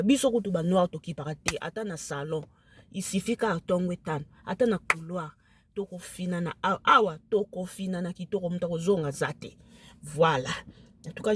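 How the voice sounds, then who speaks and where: A woman with a Lingala accent, a native Lingala speaker, talking casually to camera, emphatic and excited, switching into French. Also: fairly low pitch, moderate pace, husky. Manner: emphatic, excited, mixes French into her speech.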